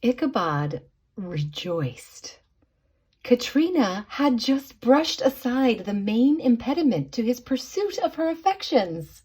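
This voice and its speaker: A woman narrating a storybook tale for a puppet show in a theatrical, expressive way, savoring the words. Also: a little high, takes it slowly, slightly rough.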